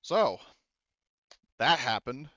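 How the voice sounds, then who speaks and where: A man running a tabletop game as game master, talking casually and haltingly and swearing freely. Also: medium pitch, sing-song, sharp tone, no room sound, highs cut off.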